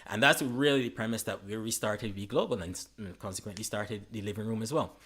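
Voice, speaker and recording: A man's voice: fairly low pitch, fast, fairly bright tone, husky. A man with a Caribbean English accent hosting a casual online conversation, joking and laughing easily. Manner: laughs often, easygoing and joking. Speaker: host. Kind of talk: casual live-stream chat.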